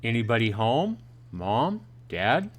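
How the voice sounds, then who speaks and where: A man's voice: mid-range voice, sing-song, slow pace. A man chatting informally and jokingly with viewers as he reads and comments on a visual novel on a livestream. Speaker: livestreaming gamer reading a visual novel to chat. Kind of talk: casual livestream play-through commentary mixed with reading aloud.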